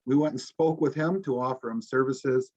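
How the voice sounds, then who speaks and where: A man's voice: mid-range voice, steady tone, moderate pace, darkish tone. A man, a police officer presenting slides to a community audience, speaking informally with many ums and restarts. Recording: dull, muffled recording.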